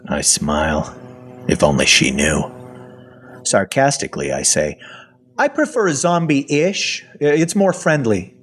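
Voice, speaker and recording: A man's voice: medium pitch, big pitch swings, takes it slowly, a bit bright, faint music behind. A man playing a wry, sarcastic infected man who narrates his own story in an audio drama. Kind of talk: first-person audio drama narration and acting.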